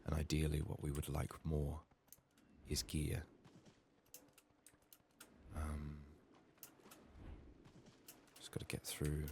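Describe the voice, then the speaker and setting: A soft-spoken man murmuring low-key ASMR game commentary, thinking aloud in a slightly sick, strained voice. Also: monotone, slow pace, creaky, long pauses. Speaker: ASMR gaming YouTuber. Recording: faint background noise, clicking.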